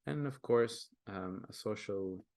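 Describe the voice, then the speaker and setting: A young man with a somewhat low voice, a native Arabic speaker from Kenya, walks through his creative work in an earnest, casual manner. He stops and restarts often and fills gaps with ums. The sound is dry and close, as on a video call. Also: slow pace, vocal fry, a little mellow, dry recording. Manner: earnest, with frequent fillers and restarts. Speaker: guest artist presenting his work. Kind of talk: casual presentation.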